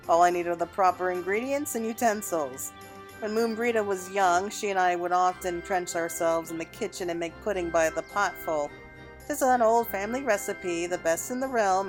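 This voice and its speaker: A woman reads the part of a kindly matron in a video game. She speaks in formal, measured, old-fashioned phrases at a medium pitch, with music playing behind. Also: natural voice. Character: kindly matronly woman sharing family recipes. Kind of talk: video game dialogue read aloud. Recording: background music under the voice.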